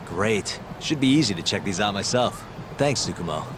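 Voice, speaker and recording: A man playing a laid-back, deadpan private detective in a video game dub. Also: lowish voice, moderate pace, background noise, natural voice. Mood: dry, polite.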